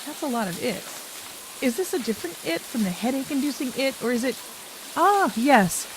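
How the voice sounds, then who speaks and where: A woman's voice: high-pitched, expressive, moderate pace, background noise. An actress playing a plain-spoken female companion in an audio drama. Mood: puzzled.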